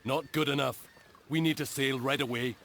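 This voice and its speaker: An actor playing a determined, blunt knight captain in a game cutscene, terse and resolute. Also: male voice, mid-range voice, fairly even intonation, normal speed, gravelly, a bit bright, slight background noise. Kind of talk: video game cutscene acting.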